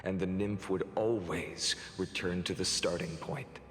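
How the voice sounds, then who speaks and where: An actor playing a weary, brooding man in a video game, speaking in a slow, solemn, measured way with a gravelly low voice. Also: fairly even intonation, fairly bright tone. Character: weary, brooding man trapped in endless cycles. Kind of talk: dramatic video game voice acting. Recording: echoey reverberant hall, music behind.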